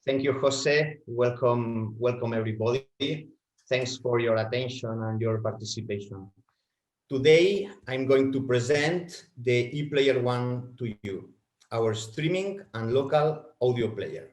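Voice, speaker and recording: A man whose first language is Spanish, presenting a product webinar from home in halting, self-correcting English. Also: mid-range voice, a little mellow, echoey room.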